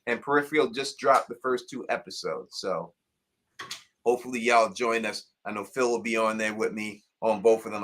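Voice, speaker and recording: A man hosting a casual live stream, giving upbeat shout-outs to viewers and repeating words, his voice getting a little raspy. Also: fairly low pitch.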